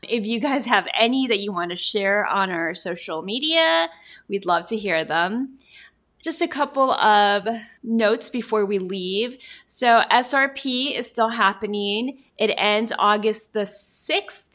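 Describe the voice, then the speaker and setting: A woman whose first language is Spanish, co-hosting a library podcast in a relaxed chat full of fillers. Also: medium pitch, measured pace. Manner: chatty, many fillers like "like" and "you know". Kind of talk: casual chat.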